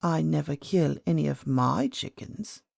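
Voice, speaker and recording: Ancient storybook grandmother queen, played by a woman: low, unhurried, gentle, enigmatic, softly creaky. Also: no echo, studio quality, heavily acted. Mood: patient, amused. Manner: calm, measured, kindly, enigmatic. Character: very old, gentle, mysterious great-great-grandmother queen. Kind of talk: storybook character voice.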